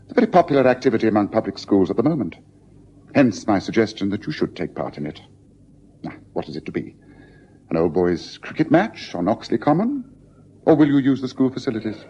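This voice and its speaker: A man playing a shrewd, precise, gently teasing detective in a radio drama, with a British accent and a husky voice. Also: on the low side, very animated, a little fast, slight background noise. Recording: dull lo-fi radio recording.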